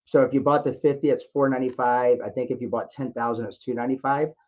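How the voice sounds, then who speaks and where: A man's voice: medium pitch, fairly even intonation, fast talker, darkish tone. A man hosting a sales conference call, answering questions in an encouraging way and often repeating his phrases. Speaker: host of a distributor conference call. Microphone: conference call line. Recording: dull lo-fi conference call audio.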